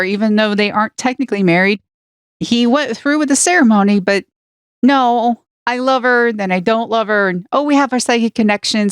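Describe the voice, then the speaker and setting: A woman co-hosting a fan podcast in casual chat, animated and acting out lines in quotes. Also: mid-range voice, smooth, no echo, studio quality. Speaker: podcast co-host.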